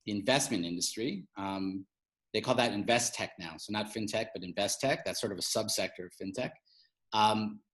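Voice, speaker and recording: A man with a medium-pitched voice talks as a guest in an interview. He explains things conversationally, in informal, explanatory phrasing. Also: low-quality recording. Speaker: interview guest, fintech media founder.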